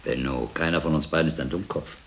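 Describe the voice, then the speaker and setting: Radio drama monk-sleuth: shrewd, insistent, bottom-register voice with heavy creak. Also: male voice, a bass voice, fairly even intonation, fast talker, fairly bright tone, a little hiss or hum. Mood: probing, insistent. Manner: insistent, dry, authoritative. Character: shrewd, probing medieval friar and investigator.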